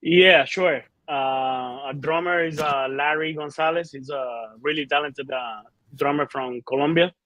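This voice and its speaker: Halting Cuban-born metal singer, dry sound. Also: male voice, fairly high pitch, fairly bright tone, no room sound, poor audio. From Cuba. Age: 30s. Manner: halting, many fillers and restarts. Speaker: band singer and bassist. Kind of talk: casual interview answers.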